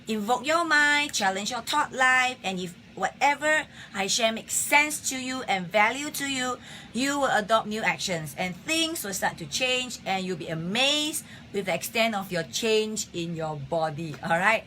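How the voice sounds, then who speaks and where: Bright, husky woman hosting a live health talk. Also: on the high side, lively intonation, unhurried, a little hiss or hum. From Singapore. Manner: chatty, greets viewers by name, many fillers. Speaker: health talk show host. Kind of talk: casual live-stream talk. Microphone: phone or webcam on a live stream.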